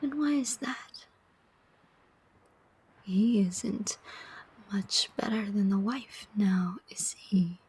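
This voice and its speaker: A cunning female demon played by a woman speaks in an ASMR roleplay. Her voice is almost entirely breathy whisper, with very little clear tone. She moves extremely slowly and deliberately, taunting her listener as she goes. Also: medium pitch, a little mellow, heavily acted. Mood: sly bargaining.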